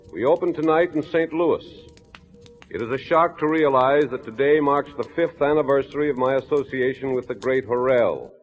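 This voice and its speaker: An actor playing a formal, theatrical district attorney in a 1940s radio drama, on a narrow, tinny old recording. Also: male voice, mid-range voice, close to an everyday voice.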